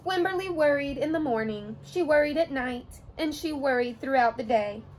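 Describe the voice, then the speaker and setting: A young adult woman reading a children's picture book aloud, with expressive storytelling. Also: sits high, moderate pace, a little hiss or hum.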